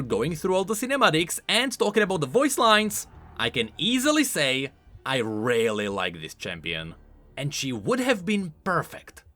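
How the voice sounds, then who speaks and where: A man hosting a game lore video essay, explaining in an expressive, informal and emphatic way, scripted but with casual asides. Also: high-pitched, no room sound. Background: background music.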